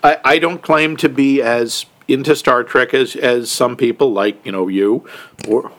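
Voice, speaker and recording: Male podcast co-host with a mid-pitched voice, easygoing and measured. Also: fairly bright tone. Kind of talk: casual chat, film review.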